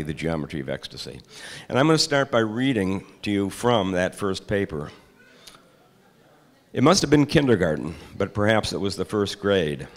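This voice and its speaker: An older man reading his own essay aloud to an audience in a large hall, deliberate and measured, with occasional hesitant asides. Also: deep voice. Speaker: author giving a reading. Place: large hall with high ceiling, seated before a projection screen.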